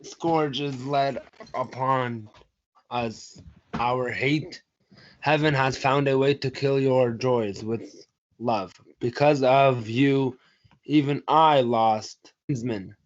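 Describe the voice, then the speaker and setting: Casting: male student as solemn, stern prince, slow delivery, school play reading, muffled with light noise. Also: mid-range voice, natural voice. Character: stern, mournful ruling prince. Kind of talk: school reader's theatre performance. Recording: dull audio with noise, clicks.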